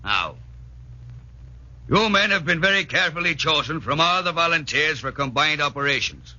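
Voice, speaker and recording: An actor playing a tough, sarcastic Irish commando sergeant major in a 1940s radio drama, with a rough, commanding voice. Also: male voice, fairly high pitch, expressive, normal speed, sharp tone, heavily acted. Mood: stern briefing. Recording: dull vintage 1940s radio recording.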